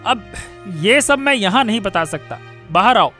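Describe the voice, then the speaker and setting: A man voicing a brisk, insistent village man in a cartoon story. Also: high voice, animated, sharp tone, faint music behind, full character voice. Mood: urgent. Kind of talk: Hindi cartoon moral story dialogue.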